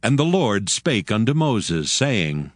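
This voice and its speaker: A man narrating scripture in a measured, formal way. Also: lowish voice, very animated. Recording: music bed underneath. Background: soft background music.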